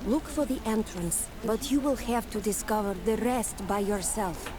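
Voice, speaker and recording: A woman's voice: fairly high pitch, normal speed, background noise, lightly acted. A woman voicing a solemn native guide in a fantasy video game. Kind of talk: video game dialogue acting.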